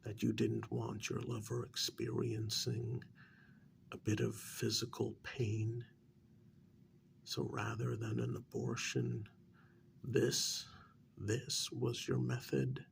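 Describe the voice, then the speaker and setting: A man playing a stern, sardonic interrogator of a captive vampire in a soft-spoken ASMR role-play, halting and probing, drifting into whispers. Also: mid-range voice, lively intonation, creaky, slight background noise, full character voice. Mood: stern, exasperated.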